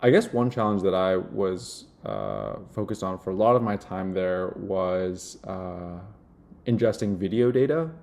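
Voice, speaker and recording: A young man with a low-pitched voice. He talks casually, as if demoing a product indoors, and his delivery halts often on filled pauses. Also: big pitch swings, gravelly, a little mellow. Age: young adult. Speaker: software demo presenter playing a job candidate. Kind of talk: casual product demo and phone screen.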